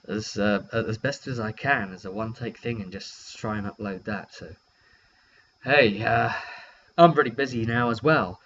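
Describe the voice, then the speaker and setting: A British man rambling hesitantly and chattily through a casual, unscripted recap of a tabletop game session, as its game master. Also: mid-range voice. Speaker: game master and vidcast host. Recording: dull lo-fi recording with steady hum.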